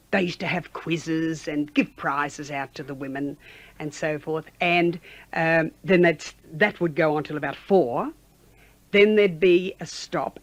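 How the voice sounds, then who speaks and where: An Australian woman in her sixties reminiscing in a chatty, halting conversational way, as a former radio announcer being interviewed. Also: low-pitched, dry recording, muffled recording. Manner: chatty, halting, with frequent "you know".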